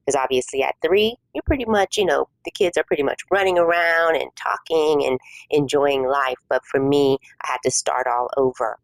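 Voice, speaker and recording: A middle-aged woman from South Korea, a native Korean speaker, sharing her personal testimony as a guest in an interview, speaking softly with many pauses. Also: sits low, creaky, no room sound, poor audio. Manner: soft-spoken and measured, many pauses and fillers. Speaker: guest minister.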